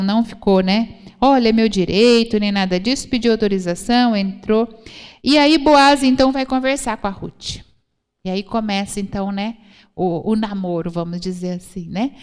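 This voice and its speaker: High-voiced Brazilian woman preaching in reverberant hall. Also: smooth. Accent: Brazilian Portuguese. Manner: didactic, repeats phrases for emphasis. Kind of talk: church sermon retelling and explaining scripture. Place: church hall, speaking to a congregation.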